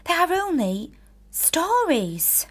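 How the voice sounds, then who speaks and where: A woman playing an impetuous, girlish youngest sister in a radio drama, excitable and emphatic. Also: very high voice, slow, full character voice. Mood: dismissive.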